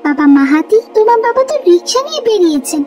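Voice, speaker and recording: High-voiced gentle, careworn mother played by a woman. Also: very animated, fast, over music. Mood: gentle, sorrowful. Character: gentle, struggling mother of a poor family. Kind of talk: cartoon voice acting.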